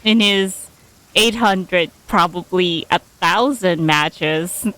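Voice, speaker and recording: A woman's voice: on the low side, slow, sharp tone, poor audio. A woman streaming a game, casually reading along and reacting aloud as she plays.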